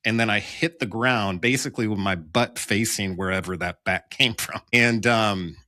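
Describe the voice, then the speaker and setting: American guy telling his own story, lowish bright voice, dry close sound, candid and a bit wry. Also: moderate pace, dry recording. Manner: candid, wry, acts out dialogue in his account. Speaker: police officer telling his own story as podcast guest. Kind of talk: personal storytelling, conversational.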